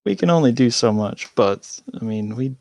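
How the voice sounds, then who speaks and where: A man's voice: mid-range voice, expressive, brisk, darkish tone, no echo, clean studio sound. A man speaking as a guest in a casual online chat, rambling with lots of fillers.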